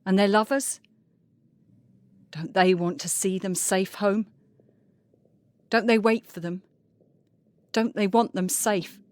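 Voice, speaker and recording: A woman's voice: mid-range voice, steady tone, slow, pauses often, a little mellow, dry recording, lightly acted. An actress playing a stubborn, passionate singer standing her ground in an audio drama, insistent and defiant. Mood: pleading.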